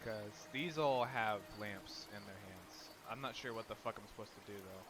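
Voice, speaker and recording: A man's voice: on the low side, moderate pace, background noise. A young man with a cold, sniffling as he talks casually over a game he plays, swearing often and reading bits of it aloud. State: sick and sniffly. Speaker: gaming youtuber. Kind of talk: casual let's-play commentary.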